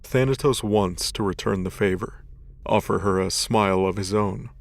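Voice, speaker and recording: A man's voice: fairly low pitch, moderate pace, vocal fry. A man narrating a scripted dramatic story as its narrator.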